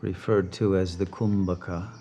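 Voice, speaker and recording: A man leading a guided meditation as a teacher, speaking calmly and deliberately with long pauses. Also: deep voice.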